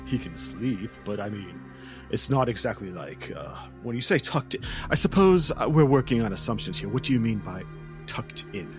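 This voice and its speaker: A man voicing a mysterious, all-knowing talking cat who hosts the party in its village, speaking in a calm, courteous and cryptic way. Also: on the low side, normal speed, music in the background, close to an everyday voice. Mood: puzzled.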